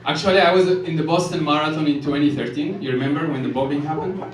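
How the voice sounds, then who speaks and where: A man from Ethiopia performing a stand-up set in a comedy club, delivering jokes deadpan and chuckling at his own lines. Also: on the high side, quick pace. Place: comedy club stage with live audience. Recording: echoey club room, from far off, loud noise.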